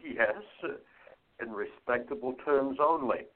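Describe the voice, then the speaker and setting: A man playing a sharp-minded older radio station manager in an amateur radio play, laying down rules sternly. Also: medium pitch, takes it slowly. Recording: tinny phone-like sound.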